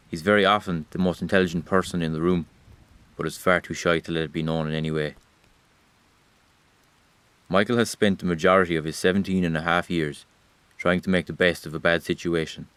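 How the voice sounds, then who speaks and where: A man's voice: sits low, fairly bright tone, clear, resonant tone. A man playing Rain as a weary observer of humanity, addressing the listener directly in a wry, reproachful audio drama monologue.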